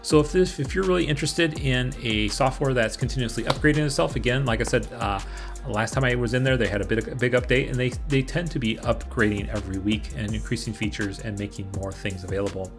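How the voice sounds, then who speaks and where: A man hosting an informal review video, explaining things to viewers in a halting way. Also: mid-range voice, music in the background. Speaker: host of a screenwriting review channel.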